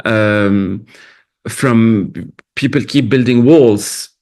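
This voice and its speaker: Halting, thoughtful man from Lebanon. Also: on the low side, darkish tone. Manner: thoughtful, halting, many restarts. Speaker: film director. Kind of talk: festival Q&A answers.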